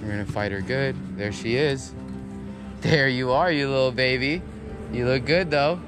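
A man's voice: mid-range voice, normal speed. A young man outdoors giving upbeat, casual commentary on his fishing trip and calling out to his viewers, recorded on a body-worn action camera. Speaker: fishing vlogger, host. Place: outdoors on a grassy canal bank. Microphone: wide-angle action camera on his body. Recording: music playing under the voice. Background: music.

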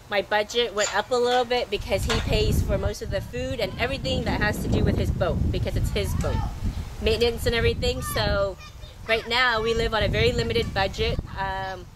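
A middle-aged woman with a scratchy, hoarse voice, rambling casually in a voice-over for her travel vlog. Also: on the high side. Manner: rambling, chatty, loud talker. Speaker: vlogger.

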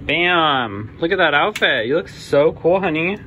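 A woman's voice: low voice, animated, unhurried, muffled recording, slight background noise. A woman narrating a casual family vlog on a handheld camera. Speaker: family vlogger. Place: cruise ship interiors and open deck.

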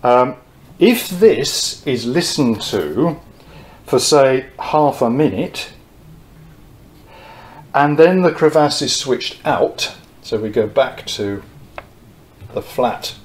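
A man in his sixties gives an informal technical lecture, explaining in a measured way with pauses mid-phrase. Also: mid-range voice, expressive. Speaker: presenter of technical talks on loudspeakers. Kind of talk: informal whiteboard lecture, reading quotes from notes. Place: indoor room at a whiteboard.

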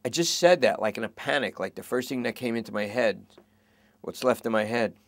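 A man's voice: medium pitch, brisk, dry recording, lightly acted. A man putting on a whiny, pleading junkie thief. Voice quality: pleading, rambling and self-pitying.

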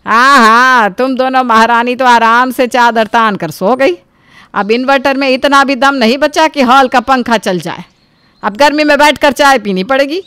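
A woman voicing a scolding, sarcastic elderly mother-in-law in an animated family story, with a nagging, taunting manner. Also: high-pitched, normal speed, clear, resonant tone, no room sound, studio quality.

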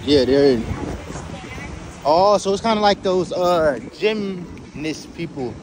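A young man hosting his own vlog outdoors on a city pier, talking in excitable, slangy casual chat and laughing often. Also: a little high, takes it slowly. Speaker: vlogger host. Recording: noisy outdoor city street.

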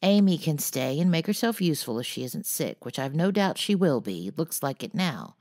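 A woman voicing a gruff, sharp-tongued old aunt who scolds and predicts the worst. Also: deep voice, big pitch swings, normal speed, heavily acted. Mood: scolding.

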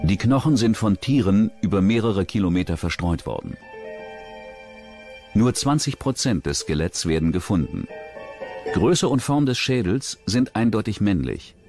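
A man narrating a true-crime documentary in a measured, serious scripted delivery. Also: lowish voice, expressive, darkish tone, faint music behind.

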